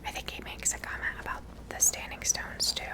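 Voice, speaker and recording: A woman whispering casual ASMR commentary as she plays a video game, musing aloud. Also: a little fast. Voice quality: whispered, unvoiced. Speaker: ASMR gamer.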